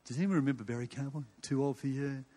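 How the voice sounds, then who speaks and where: A man's voice: mid-range voice, fast, dark tone. An older man with an Australian accent giving a sermon in an informal, conversational storytelling way, with rhetorical questions and repetition. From Western Australia. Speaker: preacher. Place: large hall or church. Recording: reverberant hall sound.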